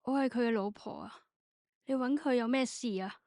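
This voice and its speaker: Radio drama wife female actor: fairly high voice, loving but hurt, searching, dry sound. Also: normal speed, dark tone, no room sound, close to an everyday voice. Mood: wary, suspicious. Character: hurt, anxious, loving wife questioning her husband. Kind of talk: Cantonese radio drama acting.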